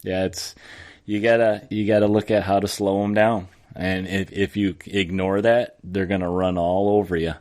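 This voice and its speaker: A man with a fairly low voice hosts a casual gaming podcast. He rambles along conversationally, starting thoughts and letting them trail off before picking them up again. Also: level tone, normal speed, a little mellow. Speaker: podcast host.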